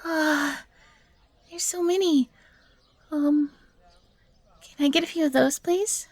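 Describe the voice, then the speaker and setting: A woman voicing a young fox girl, timid but eager and grateful, soft and breathy, in a scripted audio roleplay. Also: sits high, steady tone, slow, no echo. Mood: shy surprise.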